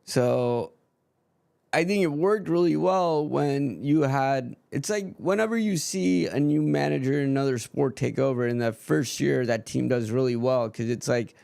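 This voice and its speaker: Gravelly, ranting football streamer man. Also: medium pitch, a little slow, darkish tone, dry recording. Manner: ranting, frank, swears freely. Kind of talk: casual live-stream football watchalong chat.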